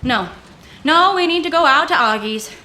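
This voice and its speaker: A woman's voice: high voice, animated, normal speed, pushed and bright, rough recording. An actress playing a practical, plucky young woman, wry and commanding, in an old-time radio adventure. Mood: brisk, reassuring.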